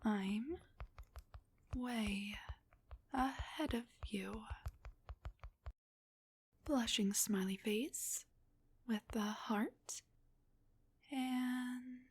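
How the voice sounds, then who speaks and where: A woman playing a shy, sweet, flirty dullahan on a date, soft, breathy and hesitant, in an intimate audio roleplay. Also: fairly high pitch, drawn-out words, long pauses, exaggerated voice. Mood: smitten.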